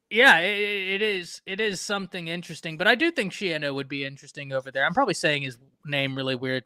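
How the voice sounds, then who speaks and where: A man from Texas hosting a casual live-stream sports talk show, animated and talking to chat viewers by name. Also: a little high, smooth, dry recording.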